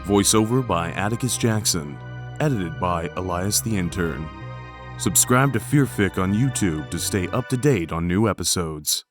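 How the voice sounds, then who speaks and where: A man's voice: deep voice, lively intonation, normal speed. Man announcing a podcast outro over music. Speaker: show announcer.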